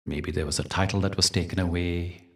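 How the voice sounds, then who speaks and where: A man hosting a guided meditation, speaking and telling stories in a gentle, deliberate, soothing way with dramatic pauses. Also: sits low, fairly even intonation, far from the mic. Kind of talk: guided meditation talk with storytelling.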